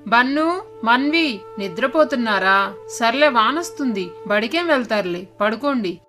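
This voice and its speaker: Casting: a woman as a brisk scolding cartoon mum, high and bright, quick delivery. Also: lively intonation, soft background music. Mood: resigned, indulgent. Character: scolding, no-nonsense mother of two children. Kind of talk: children's cartoon story acting.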